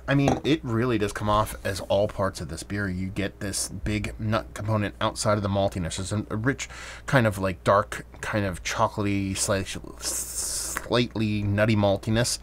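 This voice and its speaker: Man, lowish voice, casual rambling beer review, faint background hum. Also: normal speed. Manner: relaxed, rambling, with many fillers.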